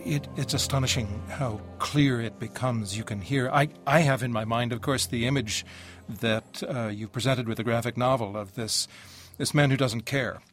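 A man hosting an interview in a reflective, thoughtful and measured way. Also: mid-range voice, a bit bright, faint music behind. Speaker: interviewer, host.

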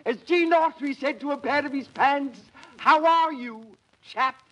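An actor playing a pompous would-be comedian who tells corny puns with grand theatrical flair, in 1940s radio comedy style. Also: male voice, extremely high pitch, a little slow, heavy background noise. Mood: hammy.

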